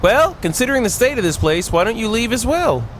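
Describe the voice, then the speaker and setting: A man's voice: extremely high pitch, sing-song, brisk, heavy background noise. A man streaming a visual novel, reading it aloud and playfully reacting with his own commentary. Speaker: video game streamer. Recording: music playing behind the voice. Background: game music.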